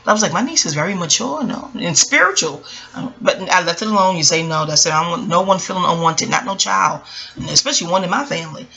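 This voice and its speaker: A woman's voice: fairly low pitch, lively intonation, fast, vocal fry, pushed and bright, poor audio. A woman from Queens telling a story casually to viewers, conversational, slipping into reported dialogue. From Queens, New York. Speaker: vlogger.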